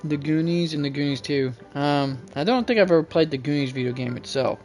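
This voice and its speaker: A man's voice: fairly high pitch, a little fast, darkish tone. Rambling male game streamer over music. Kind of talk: casual live stream chat. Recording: music playing behind the voice.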